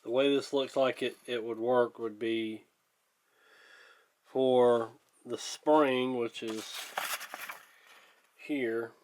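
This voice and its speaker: A man giving casual hands-on workshop commentary, thinking aloud in a halting, plainspoken, folksy way, like a hobbyist hosting a repair video. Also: mid-range voice, fairly even intonation, a little mellow.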